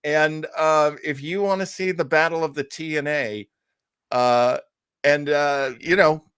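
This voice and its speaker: A man's voice: on the high side, steady tone, unhurried, a bit bright, clean tone, dry recording. Male online show host, informal and jokey, loose emphatic phrasing, recorded dry. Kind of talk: casual live show chat with announcements.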